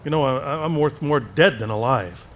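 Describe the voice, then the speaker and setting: A man playing a desperate, wisecracking family man in a radio play, talking fast and wry. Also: mid-range voice, animated, fairly bright tone, slight background noise. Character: desperate, wisecracking everyman husband. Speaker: radio actor. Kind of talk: 1940s radio acting.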